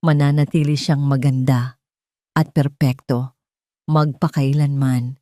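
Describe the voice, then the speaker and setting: A woman voicing a calm, deranged male kidnapper in a storybook, sweetly menacing and deliberate, speaking with obsessive conviction. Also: very deep voice, no echo, clean studio sound. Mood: grandiose conviction. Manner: slow, deliberate, full of conviction.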